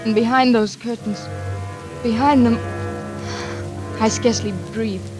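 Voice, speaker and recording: A woman playing a frightened, distraught young daughter in a 1940s radio thriller, with breathy, dramatic delivery. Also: fairly high pitch, fairly even intonation, unhurried, lightly acted. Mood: haunted narration. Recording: vintage radio recording over rain and music.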